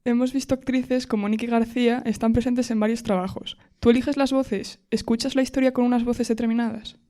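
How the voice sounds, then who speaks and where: A woman's voice: fairly high pitch, steady tone, brisk, dark tone. A woman, a student interviewer putting prepared questions to a guest.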